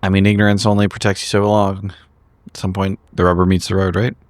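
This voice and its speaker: A man speaks in character at a tabletop game, subdued and hesitant. The voice is fairly low, close and dry, with a natural conversational delivery. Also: little pitch movement, fast talker, no echo.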